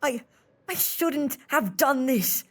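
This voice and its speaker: A woman's voice: sits high, expressive, takes it slowly, exaggerated voice. A woman playing an earnest, anxious boy who leads street urchins, emotional, in an audio drama. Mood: distraught.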